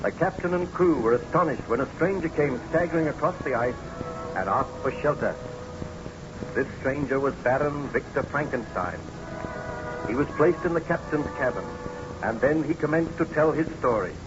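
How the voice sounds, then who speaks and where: A man narrating as announcer of an old-time radio drama, formal and measured. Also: on the high side, music in the background, natural voice. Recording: muffled, noisy old radio recording.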